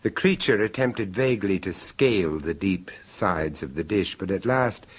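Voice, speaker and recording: An actor playing a polite, squeamish male dinner guest who narrates the story, in a measured, hesitant radio drama manner. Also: low-pitched, expressive, slightly rough. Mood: ominous. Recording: old radio broadcast.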